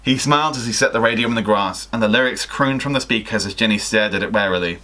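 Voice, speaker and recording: A man's voice: on the low side, fast, sharp tone. A young adult man reading a story aloud in a theatrical way, acting out the dialogue. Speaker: guest reader of listener fan fiction. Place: small attic bedroom, indoors.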